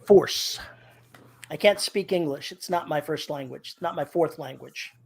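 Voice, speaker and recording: A man's voice: fairly high pitch, measured pace, creaky, breathy edge. A man co-hosting a podcast, chatting casually, explaining terms to listeners and joking dryly. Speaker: podcast co-host. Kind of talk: casual chat.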